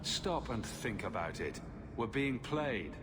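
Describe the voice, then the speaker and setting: A man voicing a confident male hero who speaks calmly and teases dryly. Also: medium pitch, slow pace. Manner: calm, dryly teasing. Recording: game cutscene with music behind.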